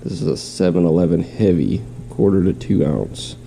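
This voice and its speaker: A man with a stuffy nose and a slight cough talks in a deep, gravelly voice with a very dark tone. He is nasal and rambling as he runs through his gear at home. Faint noise sits behind him. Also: moderate pace. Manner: rambling, with restarts. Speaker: fishing vlogger. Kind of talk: casual show-and-tell. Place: home, in quarantine.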